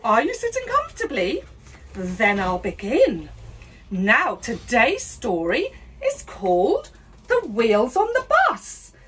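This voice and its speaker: A woman's voice: fairly high pitch, wildly swooping pitch, slow, fairly bright tone, slight background noise. A woman in her fifties doing a cheeky mock-schoolteacher comedy act, singing and laughing as she goes, filmed in a small room indoors. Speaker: comedy host. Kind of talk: rude comic song and story parody.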